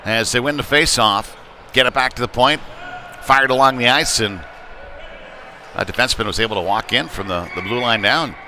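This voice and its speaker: A man giving live play-by-play commentary on ice hockey in a halting way, with frequent pauses. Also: medium pitch, bright, forceful tone. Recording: noisy live rink broadcast.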